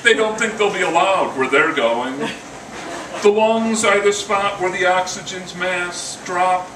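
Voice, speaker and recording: A man's voice: high voice, a little slow. An older man giving a live poetry reading with casual introductions, in a wry, dryly humorous manner. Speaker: poet reading own work. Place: indoor coffee house reading. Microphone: stand microphone, recorded by camera from a distance. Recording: roomy, distant mic, noisy venue.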